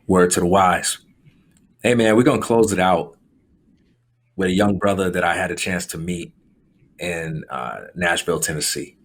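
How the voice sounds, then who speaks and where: A male podcast host introducing a clip in a relaxed, friendly way with some fillers. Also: low-pitched, moderate pace, pauses often. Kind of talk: casual chat.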